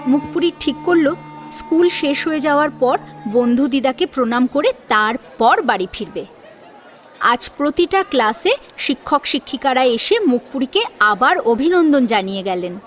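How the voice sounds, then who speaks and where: A woman narrating a scripted audio story in a dramatic storytelling style. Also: high voice.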